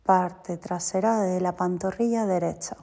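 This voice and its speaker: A woman guiding a yoga nidra relaxation as a teacher, giving short, soothing, deliberate instructions. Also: on the low side, fairly even intonation, vocal fry, mellow. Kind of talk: guided yoga nidra meditation. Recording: long reverberant hall-like echo.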